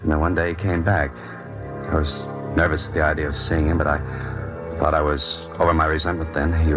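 Very deep, brooding ex-convict. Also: male voice, flat delivery, a little fast. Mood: reflective. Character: bitter, brooding male ex-convict telling his own story. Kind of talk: old-time radio drama acting, first-person narration. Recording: muffled vintage radio recording, music underneath.

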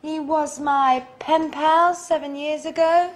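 Slow, high-pitched bubbly young woman. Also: fairly even intonation, slight background noise. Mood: reading aloud. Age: 20s. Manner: theatrical, deliberate, clearly enunciated. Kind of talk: slow, exaggerated sitcom acting for English learners. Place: indoor studio apartment set.

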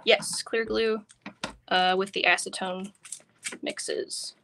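A woman's voice: mid-range voice, steady tone, takes it slowly, vocal fry, fairly bright tone, a little hiss or hum. A woman instructor talking casually and informally through a hands-on craft demonstration. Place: work table indoors, live online stream.

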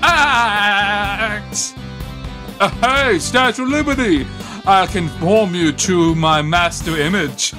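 A man improvising a comedy dub over game cutscenes in a goofy, exaggerated, shouty way. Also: high voice, slow pace. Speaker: comedic dubber. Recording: loud music behind.

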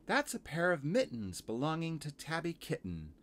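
A man's voice: a little high, huge pitch swings, normal speed, fairly bright tone, pitched above the performer's own voice. A man voicing a small, stout female hedgehog washerwoman in a children's storybook, fussy, chatty and eager to please. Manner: deferential, rustic servant phrasing, chatty.

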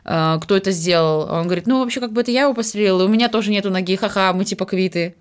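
A woman's voice: mid-range voice, rapid-fire. A young woman chatting casually as she comments on a video as co-host. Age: young adult. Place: small home room, indoors.

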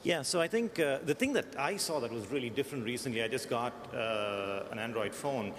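A man's voice: mid-range voice, animated, a little fast. A man with an accent that is part American and part Indian, speaking thoughtfully on a conference panel and restarting his phrases. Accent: mixed American and Indian. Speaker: search engineer panelist. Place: large conference hall. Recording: echoey conference hall, faint noise.

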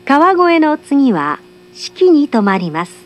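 A woman's voice: high-pitched, very animated, slow. A woman giving formal, polite, carefully enunciated scripted train announcements, recorded from a carriage speaker.

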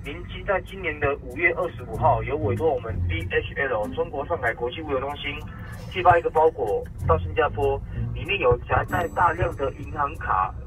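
A man on a phone call speaking as a call-centre agent in a smooth, businesslike way. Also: on the high side, brisk, fairly bright tone. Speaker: phone scam caller. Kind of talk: scripted call-centre talk.

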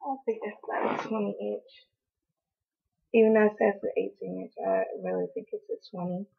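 A young woman from North Carolina, hoarse from allergies, chatting casually in a haul video with lots of ums and apologies, recorded on a close webcam in a small room. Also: mid-range voice, flat delivery, brisk. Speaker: beauty vlogger. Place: small indoor room, in front of a door. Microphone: webcam at close range. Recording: tinny, phone-like sound.

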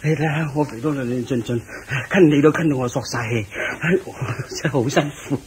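A man's voice: mid-range voice, a little fast, a little mellow, lightly acted. An actor playing a very fat, flustered but good-natured king in a radio drama, huffing, sighing and stammering as he talks. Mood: admiring, jovial. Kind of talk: Cantonese radio drama acting. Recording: noisy radio drama recording.

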